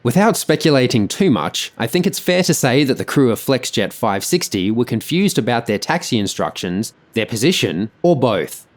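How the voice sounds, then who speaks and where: A man's voice: medium pitch, big pitch swings, normal speed, few pauses. A man reading scripted explanatory narration about an aviation incident.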